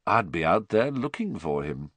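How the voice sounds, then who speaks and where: A man reading aloud and voicing a spiteful teenage schoolboy who taunts in a quiet, sneering way. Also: sits low, sing-song, normal speed, no room sound, exaggerated voice. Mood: sly taunting.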